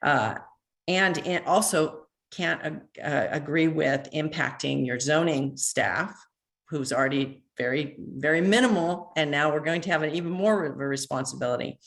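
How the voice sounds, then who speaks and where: A woman, president of a neighborhood association, reading an earnest public comment over a phone line, measured with a few halting restarts. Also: low-pitched, vocal fry. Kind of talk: prepared public comment read aloud. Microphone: phone call into online meeting.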